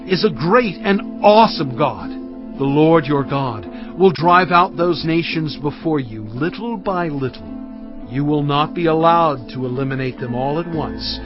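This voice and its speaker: Solemn prophet, exhorting, highish male voice, very expressive pitch, deliberate oratorical phrasing, audio drama, music behind. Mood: fervent warning. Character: Moses, solemn, impassioned male prophet exhorting his people. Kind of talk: dramatised scripture reading. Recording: dull, band-limited audio with music behind.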